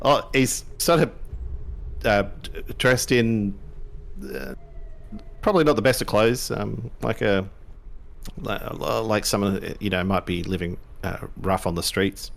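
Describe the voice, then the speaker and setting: A man narrating a tabletop game as game master, halting and searching for words. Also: lowish voice, gravelly.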